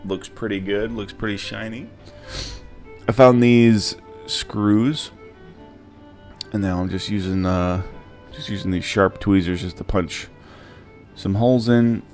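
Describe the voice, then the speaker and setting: A man with a deep, low voice narrates a casual how-to. His delivery is informal and hesitant, stopping and starting as he explains each step. Faint music plays behind him. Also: steady tone, slow pace, darkish tone. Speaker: DIY prop maker and channel host.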